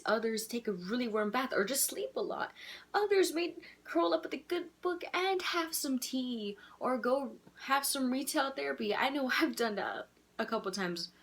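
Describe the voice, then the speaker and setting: Young woman, childish-sounding voice, slightly high, candid, rambling, self-deprecating, casual vlog. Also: fairly bright tone. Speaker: vlogger. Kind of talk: casual personal video letter to her twin.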